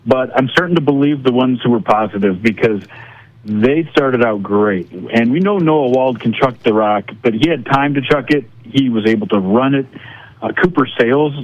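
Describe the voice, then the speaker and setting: A man's voice: mid-range voice, moderate pace, dry recording, muffled recording. An American man giving casual sports analysis as a guest broadcaster on a radio show.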